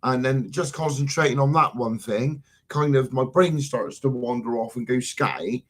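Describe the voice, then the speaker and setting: Husky man, rambling and sweary. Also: medium pitch, moderate pace, a bit bright. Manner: rambling, swears often, loses his thread. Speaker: host of a live stream. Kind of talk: casual live-stream chat.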